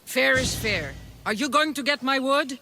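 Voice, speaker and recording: Pushy bow seller in a game, really high voice, swoops around, blunt and impatient. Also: male voice, lively intonation, normal speed, very noisy. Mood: brisk. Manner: blunt, demanding. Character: brash, foul-mouthed, impatient bow maker and merchant. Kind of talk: video game cutscene acting.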